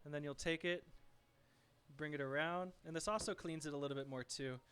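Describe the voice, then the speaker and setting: A soft-spoken man chatting casually with viewers as he cooks and cleans up in his home kitchen, reading chat messages aloud. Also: a little high, fairly quick, clear, resonant tone. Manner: relaxed, chatty, talks to viewers while working. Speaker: streaming home cook.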